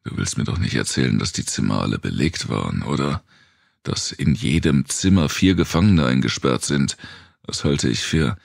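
A man voicing a guarded, skeptical male survivor in a dark audiobook. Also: rumbling low, expressive, moderate pace, gravelly, no room sound, clean studio sound, exaggerated voice. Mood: disbelieving. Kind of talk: audiobook character dialogue.

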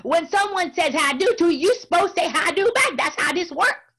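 A woman's voice: sits high, sing-song, normal speed, fairly bright tone, voice pushed up. A woman voicing a sly, indignant male rabbit trickster from a folk tale, prim and huffy, then pleading.

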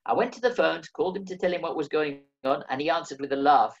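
A man's voice: on the high side, quick pace, gravelly, highs cut off. A man, reading his own memoir aloud over a video call with dry, wry humor. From England. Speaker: memoir reader.

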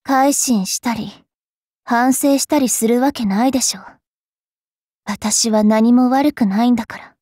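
A woman voicing a bratty, cruel young fairy witch in an anime game, haughty and taunting with a rough tongue. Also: on the high side, measured pace, warm tone, no room sound, clean studio sound. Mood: aloof, prickly. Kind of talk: Japanese anime game character voice lines.

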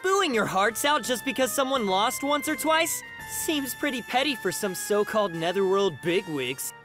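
An actor voicing a brash young male zombie fighter in an anime game, gruff, cocky and stubborn. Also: medium pitch, sing-song, moderate pace, natural voice. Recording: loud music behind the voice.

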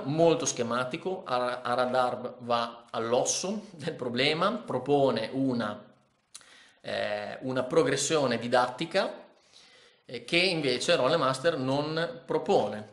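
A man's voice: medium pitch, unhurried, fairly bright tone. A man in his thirties giving a casual book review to camera in his living room, hesitant with many fillers and restarts. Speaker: ski vlogger reviewing a book. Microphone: camera in front of face. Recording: echoey room.

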